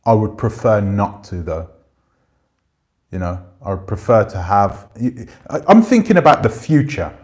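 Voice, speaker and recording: Rambling man in his forties, low voice. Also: a little slow. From Jamaican family roots. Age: 40s. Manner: rambling, relaxed, swears casually. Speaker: channel host. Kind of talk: casual livestream chat reading comments.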